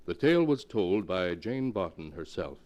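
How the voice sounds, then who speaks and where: An actor playing the ominous host of a 1940s radio horror series, speaking in a theatrical, melodramatic way. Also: male voice, low-pitched, sing-song, normal speed, a little mellow, soft background music. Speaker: announcer and narrator. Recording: 1940s radio recording, long reverberant hall.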